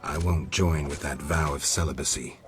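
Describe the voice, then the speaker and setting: An actor playing a stoic, world-weary monster hunter in a video game, terse and deadpan. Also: male voice, a bass voice, normal speed, noisy background. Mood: terse, calm. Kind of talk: video game dialogue acting.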